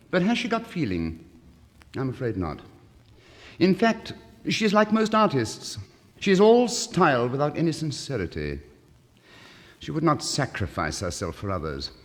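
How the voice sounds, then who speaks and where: A man playing a pompous, excitable young male student in a storybook reading, affected and emphatic, with pedantic swoops. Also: on the low side. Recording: 1950s vinyl record with long reverb.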